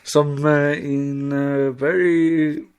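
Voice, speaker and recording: Slow, halting Swedish-speaking male podcast co-host. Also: fairly high pitch, flat delivery, darkish tone, clean tone. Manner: halting, non-native English with unidiomatic grammar. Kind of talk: casual chat.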